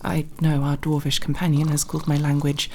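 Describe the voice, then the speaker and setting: A woman playing a nervous, naive young elf rogue in an ASMR fantasy roleplay, speaking softly with formal, hesitant, precise wording. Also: low voice, monotone, brisk, a little hiss or hum. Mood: shy, wistful. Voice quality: soft, breathy, often dropping to whisper.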